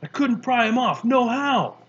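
Cocky street kid bragging loud and high, slangy and excitable, a guy doing the voice, kinda muffled. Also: unhurried, slightly rough, exaggerated voice. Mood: excited. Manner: slangy, boastful, excitable storytelling. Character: brash streetwise young boy, cocky and loud.

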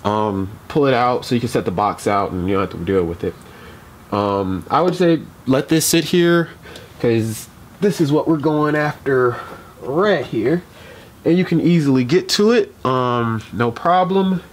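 A man's voice: medium pitch, lively intonation, a little slow, slight background noise. A young man in a casual how-to vlog, talking through a car repair with lots of ums and restarts while he works outdoors at night. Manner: rambling, many ums and restarts. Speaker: DIY car repair vlogger. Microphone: camera mic, moves around while working.

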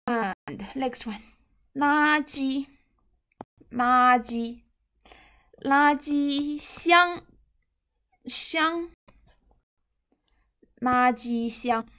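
Young chinese-accented lady teaching mandarin words online, super slow, kinda high voice, repeats stuff a lot. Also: sharp tone. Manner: deliberate, repeats words and phrases with clear emphasis. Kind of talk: online vocabulary lesson. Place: indoors, seated at a computer.

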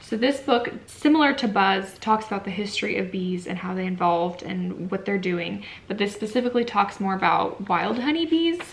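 A woman's voice: medium pitch, normal speed, vocal fry, hardly any pauses. A young woman chatting casually and informally to camera, like a vlogger showing things at home. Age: young adult. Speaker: book vlogger. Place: small furnished room at home, indoors.

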